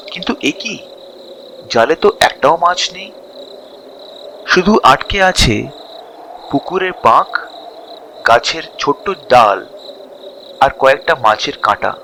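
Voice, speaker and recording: A man narrating a scripted ghost story dramatically, with suspense and drawn-out pauses between short phrases. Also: medium pitch, pushed and bright, slight background noise, poor audio.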